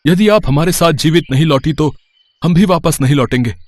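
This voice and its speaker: An eager royal soldier in a storybook legend, voiced by a man. He is deferential and emphatic, with a raised pitch and a dry sound. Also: a little fast, no room sound, lightly acted. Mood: pleading, urgent. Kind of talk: devotional story dialogue.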